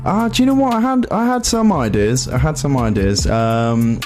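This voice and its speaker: A man's voice: medium pitch, animated, normal speed, a little mellow, faint music behind. A British man, a musician, being interviewed in a casual radio chat, matey and agreeable.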